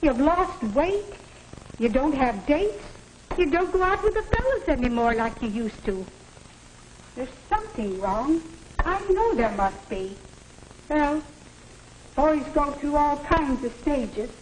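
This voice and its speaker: A woman's voice: high voice, sing-song, takes it slowly, long pauses, slight background noise, full character voice, poor audio. A woman playing a worried, fussing middle-aged mother in a 1950s educational film, anxious and plaintive, on a vintage soundtrack. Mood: anxious, fretting. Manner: anxious, plaintive, scolding gently.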